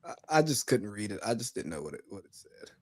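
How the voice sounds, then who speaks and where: A man's voice: lowish voice, big pitch swings, quick pace, no echo. A man from the South, co-hosting a sports podcast in a teasing, laid-back way. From rural US South. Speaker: podcast co-host. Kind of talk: casual sports podcast chat.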